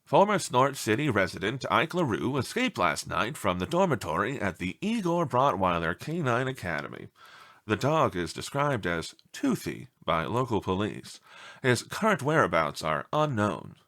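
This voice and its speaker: A man's voice: lowish voice, big pitch swings, normal speed, a bit bright. A man reading a storybook aloud as scripted narration, in an emphatic newsreader manner. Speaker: storybook reader.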